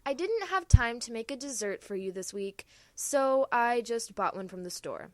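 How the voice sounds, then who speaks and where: A young woman playing a perky, naive, earnest farm girl in a comedy radio play, chirpy and expressive. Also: a little high, normal speed, close to an everyday voice. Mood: worried.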